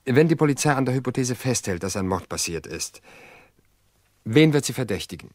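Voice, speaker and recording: An actor playing a calm brother-in-law in a radio drama, soothing and dismissive in a measured way. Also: male voice, medium pitch, dry recording, studio quality. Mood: probing. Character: calm, reassuring brother-in-law, reasoning away suspicion.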